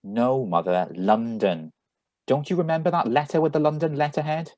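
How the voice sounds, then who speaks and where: A man reading a radio drama over a video call, both as a brisk grown son and as the announcer of sound effects. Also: mid-range voice, a little mellow, no echo, clean studio sound, natural voice. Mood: brisk, exasperated. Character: brisk, mildly exasperated grown son at breakfast.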